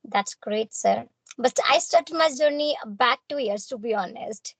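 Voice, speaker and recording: A woman's voice: fairly high pitch, unhurried, no room sound, poor audio. A young woman with a North Indian accent and Hindi as her first language, speaking English in a formal, courteous, earnest way in a practice conversation. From Punjab, India.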